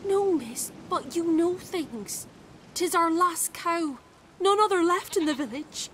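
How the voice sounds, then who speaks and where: A woman's voice: very high voice, animated, takes it slowly, a bit bright, slight background noise, full character voice. An actress playing a desperate, humble peasant woman in a fantasy game, deferential and pleading. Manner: meek, deferential. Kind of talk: video game voice acting.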